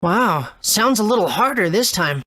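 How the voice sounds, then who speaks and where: A woman's voice: mid-range voice, animated, normal speed, sharp tone, breathy edge, no room sound. A woman voicing an earnest, good-natured young boy adventurer in a video game. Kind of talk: English game dub.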